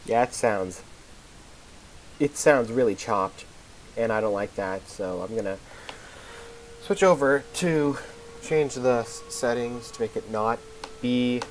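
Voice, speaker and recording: A man's voice: medium pitch, slow pace, pauses often, slight background noise, low-quality recording. A young man chatting casually on a game livestream into a headset mic, rambling and self-deprecating, his voice a little off after being sick. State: voice slightly off after a recent illness. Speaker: game streamer.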